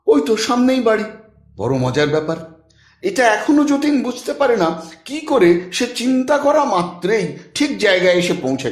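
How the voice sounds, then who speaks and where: A man narrating a literary audiobook expressively, with dramatic, deliberate phrasing and pauses. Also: high-pitched, roomy sound.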